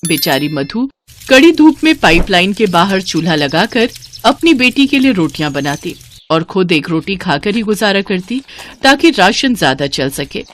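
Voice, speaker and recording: A woman's voice: fairly low pitch, big pitch swings, moderate pace, slight background noise. A woman narrating a scripted moral story in a dramatic, sympathetic way.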